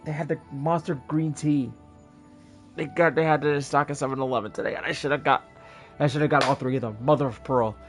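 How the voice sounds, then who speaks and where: Male game streamer, slightly high voice, tired, slangy casual commentary over faint music. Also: fairly even intonation, fairly quick. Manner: slangy, mild swearing, muttering asides. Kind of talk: casual livestream gameplay commentary.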